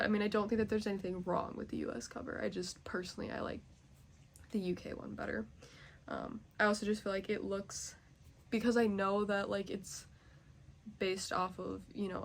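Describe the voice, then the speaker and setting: A woman's voice: medium pitch, gravelly, slight background noise. A young woman chatting casually as a book vlogger, nasally congested and rambling with many fillers. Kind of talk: casual chat.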